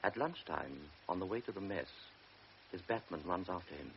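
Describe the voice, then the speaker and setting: Low-voiced male narrator, steady delivery, vintage radio. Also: moderate pace, vocal fry. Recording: dull old radio broadcast, faint hiss.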